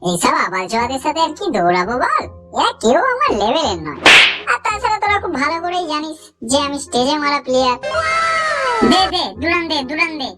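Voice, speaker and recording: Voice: high voice, very animated, a little fast. A performer voicing a cocky, foul-mouthed slacker gang boss in a comedy cartoon, crude and slangy in manner, with music behind. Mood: boastful. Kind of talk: Bengali comedy cartoon dubbing.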